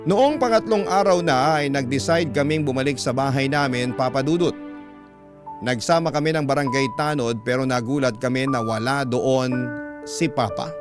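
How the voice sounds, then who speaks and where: A man with a Filipino accent narrates a dramatic first-person story from a script. His voice is medium in pitch, and music plays prominently behind him throughout. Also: moderate pace. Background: prominent background music.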